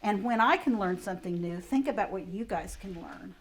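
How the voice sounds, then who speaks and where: A woman's voice: mid-range voice, brisk. A woman reading specialist chatting patiently with second graders in a classroom, asking questions about a picture book. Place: elementary school classroom.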